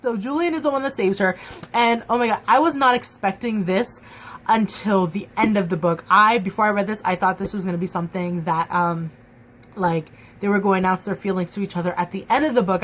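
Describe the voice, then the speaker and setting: Young woman gushing about a book she loved, chatty, lots of um and like, bit of faint hiss. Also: medium pitch. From Mexican and Cuban heritage. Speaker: book reviewer. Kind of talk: casual book review vlog.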